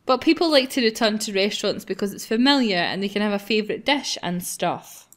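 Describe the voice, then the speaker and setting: A woman's voice: medium pitch, lively intonation, a little fast, a bit bright. A woman with a mid-range voice hosts a gaming session. She reads visual novel lines aloud casually and playfully, giggling and poking fun at herself. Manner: giggly, self-mocking. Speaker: gaming video host.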